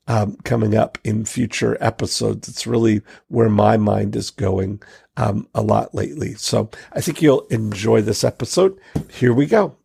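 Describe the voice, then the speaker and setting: A man's voice: fairly low pitch, creaky, darkish tone, breathy edge, dry recording, studio quality. A man, a podcast host, giving a reflective, loosely phrased solo introduction to an episode.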